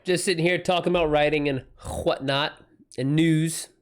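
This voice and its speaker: A man's voice: fairly high pitch, steady tone, clean tone. Casual, slangy man chatting on a livestream. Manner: informal, slangy, swearing, halting. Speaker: livestream host and writer. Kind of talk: casual livestream chat, reacting to viewer messages.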